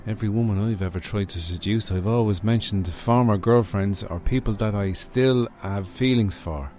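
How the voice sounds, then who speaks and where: A man's voice: lowish voice, normal speed, darkish tone, slight background noise, close to an everyday voice. A man playing a blustering, sceptical club boss in a comedy radio serial, dry and incredulous.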